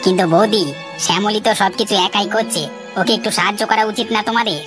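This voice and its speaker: Protective older-brother part for a cartoon woman acting, fast, mid pitch, reproachful, music behind. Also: steady tone, close to an everyday voice. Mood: concerned. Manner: concerned, reproachful.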